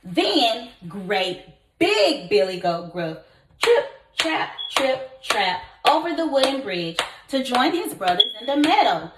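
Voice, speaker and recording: A woman teacher reading a story aloud to young children, expressive and encouraging, putting questions to her listeners. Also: a little high, slow, noisy background. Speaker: kindergarten teacher. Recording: echoey room.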